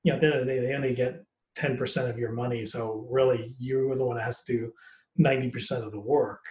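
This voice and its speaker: A man's voice: mid-range voice, fairly even intonation, fast talker, a little mellow, reverberant, highs cut off. A man, an actor, talking candidly and casually on a podcast, rambling and laughing often. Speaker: actor guest.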